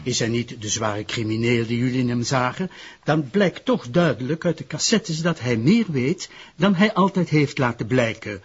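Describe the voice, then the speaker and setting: An actor playing a genial, formal public prosecutor with a Flemish accent and a husky voice, pausing as he talks in a radio drama. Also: medium pitch, expressive. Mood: confiding, formal. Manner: jovial, hesitant pauses, formal. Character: genial, smooth-talking male public prosecutor, authoritative host. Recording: dull, muffled radio drama recording.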